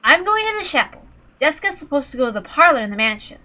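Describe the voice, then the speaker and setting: A woman voicing a polite, earnest young man in a visual novel, measured and deferential in manner. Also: high voice, big pitch swings, pushed and bright, pitched above the performer's own voice, full character voice. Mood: calm, dutiful.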